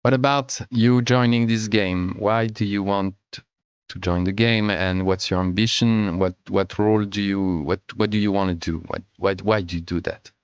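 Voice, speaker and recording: A man's voice: lowish voice, steady tone, moderate pace, clean tone, dry recording, dull audio. A man running a tabletop game's opening session, talking unhurriedly and chuckling often. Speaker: game master. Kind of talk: online session-zero chat.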